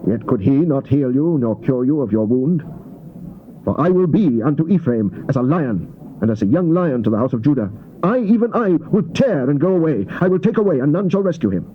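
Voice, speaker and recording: Male scripture reader, solemn theatrical declamation, mid-pitched, dull noisy tape sound. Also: animated, fairly quick. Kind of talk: dramatized King James Bible reading. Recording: dull, noisy old cassette tape recording.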